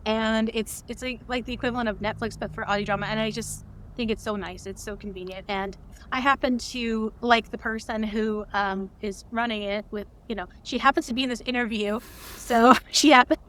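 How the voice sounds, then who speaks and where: A woman speaking as an interview guest, chatting casually and earnestly, often halting to search for words. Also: fairly high pitch, fairly even intonation, fairly bright tone, slight background noise. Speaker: interview guest, audio drama co-creator.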